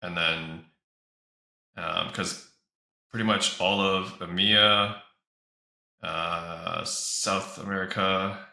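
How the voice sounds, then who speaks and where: A man's voice: low voice, steady tone, very slow, pauses often, bright, forceful tone. A man giving casual interview answers as an esports organizer, recorded in a room with some echo. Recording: roomy echo.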